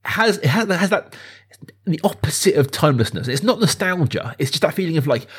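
A man's voice: fairly high pitch, lively intonation, a little fast, slightly rough. A man, talking casually and with rambling enthusiasm as a film podcast host. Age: 30s.

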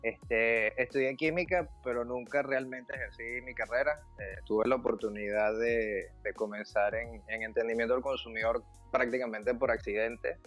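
A man's voice: medium pitch, fairly even intonation, moderate pace, hardly any pauses, fairly bright tone, faint music behind. A man from Venezuela with a Venezuelan accent, talking as a podcast guest in a reflective, grateful way. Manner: grateful, reflective, frequent "este" fillers. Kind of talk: casual conversational interview.

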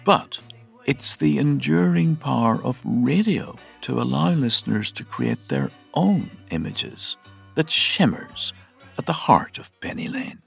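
A man hosting a podcast with measured, scripted storytelling narration. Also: mid-range voice, lively intonation, creaky, slightly rough, soft background music. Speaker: podcast host.

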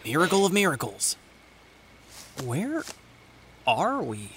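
A woman playing a sarcastic, protective teenage sidekick in a naturalistic audio drama, wry and sometimes halting. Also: low voice, huge pitch swings, slight background noise, heavily acted.

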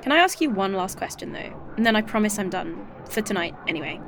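A woman's voice: mid-range voice, expressive, fast talker, a crowd in the background, lightly acted. A woman playing a blunt, wisecracking 1980s woman. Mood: earnest. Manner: blunt, joking, inquisitive. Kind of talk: indie audio drama acting.

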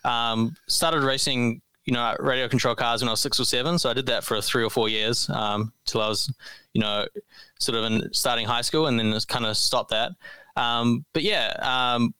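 A man's voice: mid-range voice, fairly even intonation, normal speed, pushed and bright, clear, resonant tone, dry recording. A young man, answering interview questions in an easygoing, modest way with many ums. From New Zealand. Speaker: podcast guest.

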